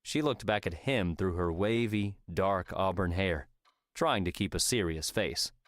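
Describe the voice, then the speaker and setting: A man's voice: low voice, unhurried, no room sound, studio quality. A man reading scripted story narration as an audiobook narrator. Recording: music under the voice.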